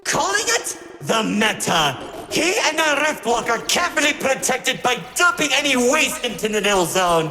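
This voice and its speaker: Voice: medium pitch, big pitch swings, moderate pace, sharp tone. An actor playing a theatrical, unhinged masked showman villain in a video game, with a melodramatic and mocking delivery. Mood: mocking narration. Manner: hammy, mocking, swinging between grief and sarcasm. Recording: echoey hall reverb with music behind.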